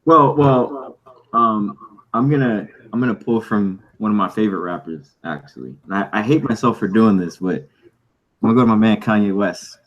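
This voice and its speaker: A man with a husky voice chatting casually and jokingly as a podcast host. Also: on the low side, expressive, moderate pace, muffled recording. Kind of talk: casual comedic group chat.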